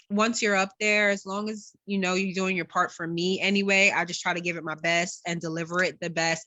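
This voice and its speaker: A woman's voice: on the low side, pushed and bright, no room sound. A woman speaking as an interview guest and stage actress, talking reflectively and conversationally.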